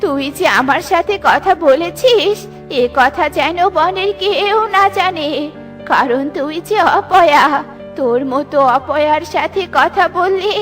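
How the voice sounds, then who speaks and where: A woman acts an elderly granny in a children's cartoon, stern and quick to scold. Her voice sits high, with music playing behind. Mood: wary, warning. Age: older. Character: scolding, superstitious old granny of the forest. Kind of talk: children's cartoon story acting.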